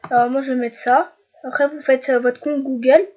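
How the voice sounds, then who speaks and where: A young woman from France with a head cold walks through a screen-recorded tutorial. Her voice sits high and she stumbles, pausing on fillers and restarting her sentences. The home mic sounds dull and lo-fi. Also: steady tone, a little mellow. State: stuffy with a cold. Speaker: YouTuber.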